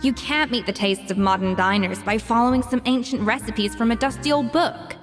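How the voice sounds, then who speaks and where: A woman's voice: a little high, moderate pace, big-room sound, faint music behind, lightly acted. A woman playing a proud, exacting young master chef in a video game, speaking in an emphatic, precise, dismissive manner. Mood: firm.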